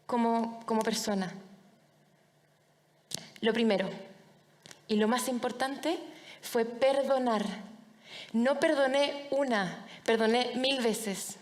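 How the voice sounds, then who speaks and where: A young woman with a Chilean accent tells a personal story as a public speaker, in short, deliberate phrases with dramatic pauses. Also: a little high. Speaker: public speaker, anti-bullying foundation director. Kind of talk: personal storytelling talk to an audience. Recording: reverberant large hall.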